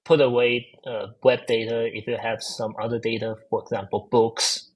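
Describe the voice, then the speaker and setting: A man's voice: medium pitch, little pitch movement, normal speed, husky, poor audio. A man with a Chinese accent answering interview questions, hesitant with many fillers and restarts. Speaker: guest, AI researcher. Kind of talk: casual technical interview.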